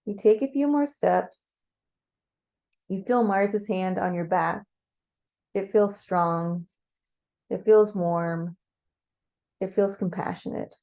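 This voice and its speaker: A woman narrating a guided meditation as a story in the second person, conversational and playful, with gentle pauses. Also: mid-range voice. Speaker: storyteller leading a guided visualization.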